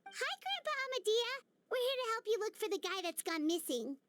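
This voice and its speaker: A woman voicing a small, chirpy, childlike fairy companion in a video game, bubbly and earnest with a squeaky voice. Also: big pitch swings, a little fast, a bit bright, no room sound, exaggerated voice. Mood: curious, cheerful. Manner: talks of herself in third person, chirpy. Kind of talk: video game character acting.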